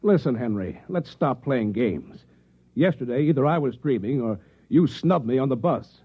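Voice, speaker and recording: Bewildered radio-drama husband, muffled voice. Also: male voice, medium pitch, expressive, normal speed, breathy edge. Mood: exasperated, rattled. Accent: American. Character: bewildered, increasingly rattled ordinary husband and office worker. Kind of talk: mid-century American radio drama acting. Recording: phone-like vintage radio recording.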